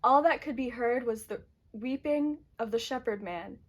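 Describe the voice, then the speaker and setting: A woman's voice: a little high, normal speed, a little hiss or hum. A woman narrator reading scripted dramatic storytelling for a puppet show.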